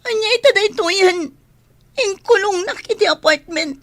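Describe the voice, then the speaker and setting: A woman's voice: very high voice, animated, normal speed, fairly bright tone. A woman playing an aggrieved, demanding mistress in a radio drama, plaintive and reproachful. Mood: hurt, tearful.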